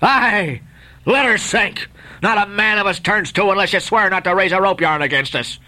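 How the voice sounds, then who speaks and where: A man voicing a fierce sailor ringleader who speaks out forcefully and defiantly. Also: high voice, moderate pace, pitched above the performer's own voice. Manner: forceful, raised defiant speech.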